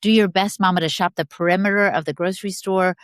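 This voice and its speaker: A woman's voice: medium pitch, steady tone, fast talker, no room sound, studio quality. A woman in her sixties giving eager wellness advice in a casual podcast conversation, often speaking straight to mothers. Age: 60s. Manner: enthusiastic, advice-giving, talks to listeners as "Mama". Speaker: guest, wellness educator.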